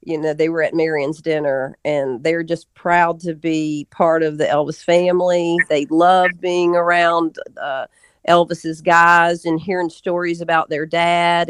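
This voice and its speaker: A woman's voice: sits low, clean tone, no echo. A middle-aged woman talking casually and earnestly as an interview guest, often repeating words as she goes.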